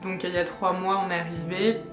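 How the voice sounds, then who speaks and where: A woman's voice: lowish voice, flat delivery, a little fast, roomy sound, over music. A woman in her late twenties from France, chatting casually to camera with lots of hesitations and fillers. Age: 20s. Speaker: vlogger. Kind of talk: casual vlog chat.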